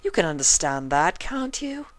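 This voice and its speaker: A woman's voice: low voice, very animated, moderate pace, no echo, pitched above the performer's own voice. A woman voicing an elegant, haughty female cartoon pony fashionista with affected, dramatic, refined diction. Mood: airy disdain.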